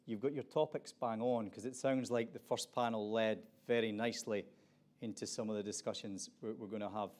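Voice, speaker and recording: A man's voice: fairly low pitch, steady tone, fairly quick, darkish tone. A middle-aged man from Aberdeen with a Scottish accent, introducing a conference panel in a conversational way with frequent restarts. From Scotland. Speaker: panel moderator.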